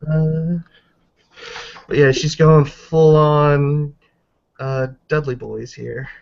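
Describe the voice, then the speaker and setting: Higher-voiced man running a tabletop game, muffled audio. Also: little pitch movement, extremely slow, gravelly, darkish tone. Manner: informal, swears freely. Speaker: game master in a tabletop roleplaying session. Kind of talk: casual live game narration with dice counting. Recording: dull lo-fi voice chat audio.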